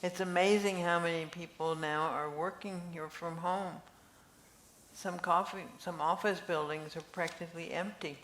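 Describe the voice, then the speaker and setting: A woman's voice: lowish voice, fairly even intonation, moderate pace, a bit bright, close to an everyday voice. An older woman reading the part of a chatty, curious friend in an amateur play. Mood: reflective. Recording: echoey room with faint noise.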